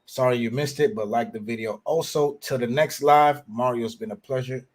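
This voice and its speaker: A man's voice: medium pitch, moderate pace. A man hosting a live stream chat over a video call, laid-back and agreeable. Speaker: host.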